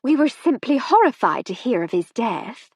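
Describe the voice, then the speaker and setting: A woman reading aloud voices a gushing, imperious society wife in her fifties, with a breathy voice and a dry sound. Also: on the high side, very animated, normal speed, no room sound, muffled recording, pitched above the performer's own voice. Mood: gushing, sharp. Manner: gushing, then icy and imperious.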